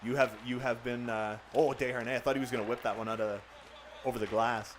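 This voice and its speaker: A young man streaming a hockey video game at his home setup, calling the plays and reading out chat, jokey and excitable with loud bursts on big moments. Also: mid-range voice, fast talker, background noise. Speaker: gaming streamer doing play-by-play.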